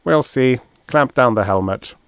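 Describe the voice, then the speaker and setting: A man's voice: medium pitch, unhurried, smooth, no echo, voice pushed up, lightly acted. A man voicing an evil, irritable toadlike alien commander who barks orders and sneers in a pulp science fiction audiobook. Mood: angry, menacing.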